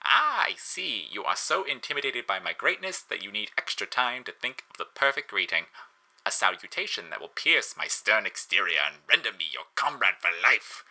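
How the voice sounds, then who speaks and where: A man's voice: lowish voice, sing-song, normal speed, pushed and bright, heavily acted, rough recording. A man voicing a boastful, pompous male skeleton who is eager for friends, in an exclamatory storybook reading. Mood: pompous, encouraging.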